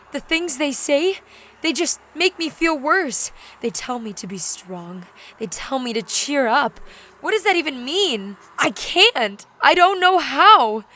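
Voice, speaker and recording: A woman plays a vulnerable, despairing teenage girl in an audio drama. Her high, bright voice moves slowly and haltingly, plaintive and hurt, with music behind it. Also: full character voice.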